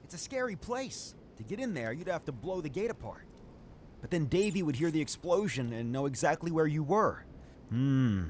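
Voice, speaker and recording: A man voicing an eager, nervous potion brewer in a video game, animated in his delivery. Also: a little high, normal speed, slight background noise. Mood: nervous, pondering. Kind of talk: video game character acting.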